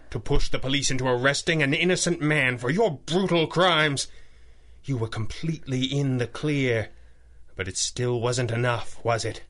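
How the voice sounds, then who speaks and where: A man playing a confident, wry masked vigilante boss in a 1930s-style radio drama, measured and articulate. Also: medium pitch, sharp tone, natural voice. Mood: accusing, stern.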